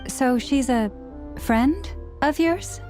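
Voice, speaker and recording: A woman voicing a gentle, formal priestess in a video game, speaking in a composed, courteous and measured way. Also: high voice, faint music behind. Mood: tactful.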